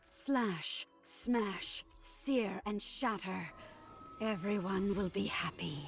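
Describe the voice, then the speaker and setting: An actress voicing a fanatical, murderous young female warrior in a video game, with a measured, chanting delivery. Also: mid-range voice, creaky. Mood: serenely fanatical. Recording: game music behind the voice.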